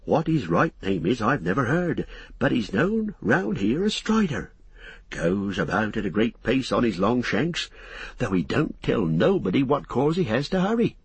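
A man's voice: mid-range voice, very animated, normal speed, husky, dry recording, exaggerated voice. A man voicing a folksy middle-aged country innkeeper in a storybook reading, rambling and confiding in rustic speech. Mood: confiding, gossipy.

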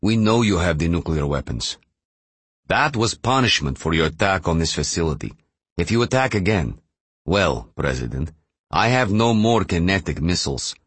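A man's voice: low-pitched, sing-song, normal speed, fairly bright tone, husky, dry recording, full character voice. A man voicing a weary, conciliatory Russian president negotiating in an audiobook thriller. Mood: pleading, resigned.

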